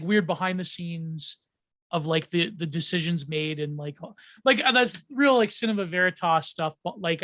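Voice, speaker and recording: High-voiced man rambling through ideas. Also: fairly bright tone, no echo. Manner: rambling, many ums and restarts, laughs often. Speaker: podcast guest. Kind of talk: casual podcast chat.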